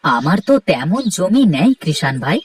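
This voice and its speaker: A woman voicing a poor young male laborer who talks softly and hopefully, pleading with his wife. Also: sits low, big pitch swings, moderate pace, husky, dry recording, clean studio sound, full character voice. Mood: polite. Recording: distant voice with cartoon music behind.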